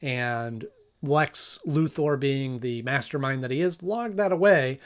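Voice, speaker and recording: A man's voice: medium pitch, measured pace, smooth. A man hosting a casual review, commenting in a conversational, thinking-aloud way with emphasis. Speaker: host, cartoon reviewer.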